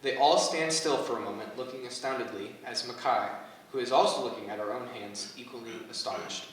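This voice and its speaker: A man's voice: medium pitch, brisk, fairly bright tone. A man reading stage directions as scripted narration for a staged play reading. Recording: very echoey room, distant mic, faint noise.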